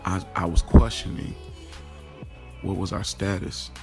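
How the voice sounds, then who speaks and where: A man's voice: low-pitched, level tone, measured pace. A man playing a streetwise young man who tells his love affair in candid, slangy first-person drama narration, with music behind him. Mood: candid, animated. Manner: colloquial, slangy storytelling.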